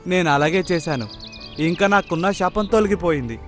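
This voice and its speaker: A man's voice: fairly high pitch, animated, brisk, a bit bright, soft background music. A man voicing a brave, kind, fatherly crocodile in a children's cartoon, speaking earnestly. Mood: confessing. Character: brave kind blue crocodile, cursed hunter, fatherly protector. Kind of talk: Telugu children's cartoon voice acting.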